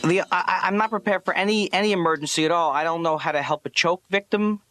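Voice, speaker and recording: Casting: neurotic, eager-to-please guy, slightly high voice, earnest halting delivery, dry recording, cartoon comedy. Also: bright, forceful tone, dry recording. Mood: anxious, incredulous. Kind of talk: comic monologue and dialogue.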